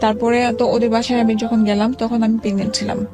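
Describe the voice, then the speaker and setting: A woman in her forties, a guest telling her own life story in an interview, speaking haltingly with many pauses and restarts. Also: on the high side, level tone. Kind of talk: emotional personal account in an interview. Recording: background music under the talk.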